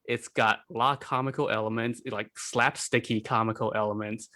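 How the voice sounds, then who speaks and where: A man talking casually as a podcast panelist, halting often and peppering his speech with "like" and "you know.". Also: on the low side, steady tone, bright, forceful tone. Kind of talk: casual discussion.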